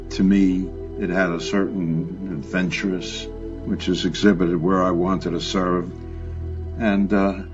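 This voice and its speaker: An older man, a war veteran, recalling his youth in a slow, halting, reflective interview. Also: low voice. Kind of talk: archival interview recollection. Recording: dull lo-fi archival recording.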